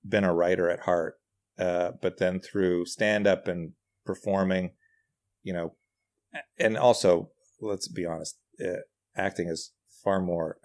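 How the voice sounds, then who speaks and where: A man's voice: low voice, a little mellow. A middle-aged man, telling anecdotes casually in a self-deprecating, hesitant way as a podcast guest. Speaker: podcast guest, writer and comedian.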